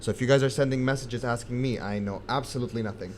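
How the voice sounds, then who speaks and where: A man's voice: medium pitch, fast talker. A young man hosting a casual podcast, chatty, with many fillers and frequent laughs. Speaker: podcast host. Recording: faint background noise.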